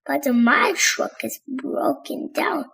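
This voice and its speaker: Woman as a grumbling, creaky workman bull. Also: high-pitched, animated, slow pace, a bit bright, exaggerated voice. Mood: complaining. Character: grumbling male cartoon bull road worker.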